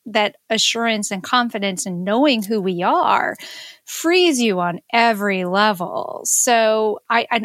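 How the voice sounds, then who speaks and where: A woman's voice: fairly high pitch, fairly bright tone, no room sound. A woman in a casual, reflective chat, speaking earnestly and feeling her way through her phrases.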